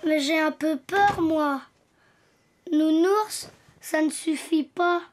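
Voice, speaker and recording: A performer voicing an eager, tender little boy donkey in a French preschool cartoon, earnest and expressive. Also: high-pitched, takes it slowly, slight background noise. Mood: pleading. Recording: music behind the voice.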